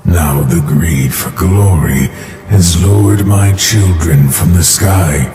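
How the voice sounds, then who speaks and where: In a cavernous reverb over music, an ancient, amused and menacing deity for a cartoon drama. The voice is low, grand and extremely slow, measuring out each phrase. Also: male voice, mellow. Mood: solemn, grand. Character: ancient, lordly male sky god, amused, menacing. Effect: long godlike reverb.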